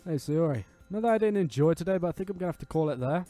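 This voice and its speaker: A man's voice: on the high side, animated, racing, dark tone, faint music behind, natural voice. A man voicing a sarcastic, casual teenage boy, the protagonist of a visual novel, in a wry teasing way. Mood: apologetic.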